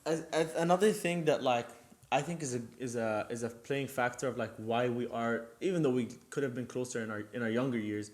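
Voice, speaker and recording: A young man co-hosting a podcast, telling casual anecdotes in an animated, joking, slangy way. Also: medium pitch, fairly quick. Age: young adult. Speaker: podcast co-host.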